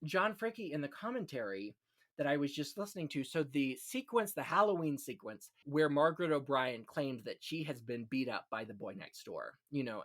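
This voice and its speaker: A man hosts a casual podcast about classic film. His voice sits at a middle pitch, and he talks in a chatty, conversational way, dropping in small asides as he goes. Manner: chatty, punctuates talk with "you know" asides. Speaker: podcast host.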